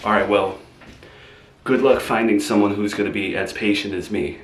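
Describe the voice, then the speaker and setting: A man's voice: lowish voice, fairly even intonation, moderate pace, lightly acted. A man playing a defensive, tactless young boyfriend who argues in a rising, exasperated way in a comedic skit. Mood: resentful. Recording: roomy, distant mic, faint hiss.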